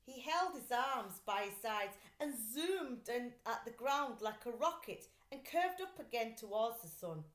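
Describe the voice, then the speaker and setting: A woman's voice: fairly high pitch, a little slow, hardly any pauses, reverberant. A woman reading a children's story aloud like a teacher, expressively and with dramatic delivery. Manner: animated, dramatic character voicing in dialogue.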